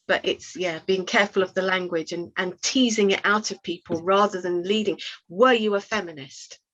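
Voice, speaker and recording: A woman speaks as a panelist in an online talk, offering advice conversationally. Her voice sits at a middle pitch, and the call audio is slightly dull. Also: steady tone, normal speed, fairly bright tone. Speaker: webinar panelist. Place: indoors on a video call.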